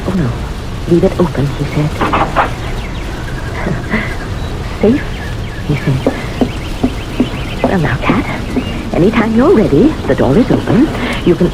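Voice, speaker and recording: A woman's voice: on the low side, sing-song, slow pace, long pauses. An actress playing a timid older woman living alone in an old-time radio drama, hesitant, polite and easily flustered. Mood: puzzled. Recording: noisy, hissy old radio recording.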